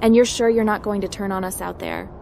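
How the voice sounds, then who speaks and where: A woman's voice: medium pitch, steady tone, fast talker, creaky, a little mellow, slight background noise, lightly acted. A woman voicing a resolute female hacker heroine in a video game roleplay. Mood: firm. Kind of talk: roleplay game protagonist voice-over. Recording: game music behind.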